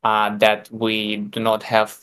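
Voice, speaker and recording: Man, from Ukraine, game developer, deep voice, thoughtful, measured. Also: monotone, a bit bright, a little hiss or hum. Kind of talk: casual interview answers.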